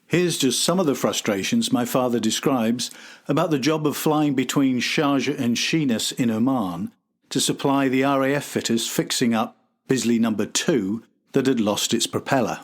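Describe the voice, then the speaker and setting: A man's voice: medium pitch, normal speed. A man hosting and narrating a history documentary from a script. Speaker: host and narrator.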